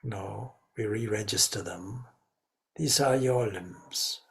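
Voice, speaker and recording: A man leading a guided meditation as a spiritual teacher, speaking reverently in short, measured phrases. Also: lowish voice, vocal fry.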